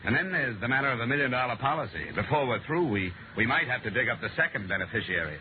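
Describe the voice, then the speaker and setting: An actor playing a wry, hard-boiled insurance investigator in a 1950s radio drama, brisk and clipped, both in scenes and narration. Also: male voice, mid-range voice, expressive, close to an everyday voice. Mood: calm, determined. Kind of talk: 1950s radio detective acting and first-person narration. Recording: noisy, tinny old radio broadcast recording.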